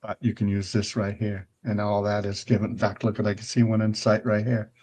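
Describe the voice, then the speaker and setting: A man's voice: on the low side, level tone, brisk, darkish tone. A retired older man hosting a casual show, touring a virtual world and chatting in a rambling, conversational way, often checking in with the listener. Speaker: show host.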